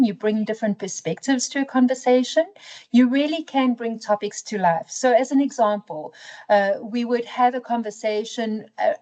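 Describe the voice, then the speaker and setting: A woman executive being interviewed for a corporate video, speaking in a measured, reflective way. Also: a little high, darkish tone, low-quality recording. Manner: measured, reflective, uses "you know" and "for me".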